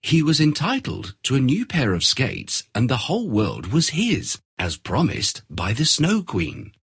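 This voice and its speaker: A man reading a scripted fairy tale as storyteller, in a dramatic, expressive manner. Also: mid-range voice, measured pace, breathy edge.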